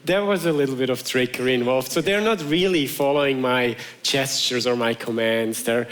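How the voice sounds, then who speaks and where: A man giving a theatrical stage presentation in a theatre, speaking in measured, deliberate phrases with dramatic pauses. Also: medium pitch, fairly bright tone. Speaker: stage magician and technologist. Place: theatre stage before a live audience. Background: music.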